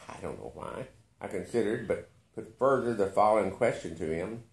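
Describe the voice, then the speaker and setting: A man reading a literary short story aloud with expressive narration. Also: lowish voice, moderate pace, creaky, roomy sound. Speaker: story reader.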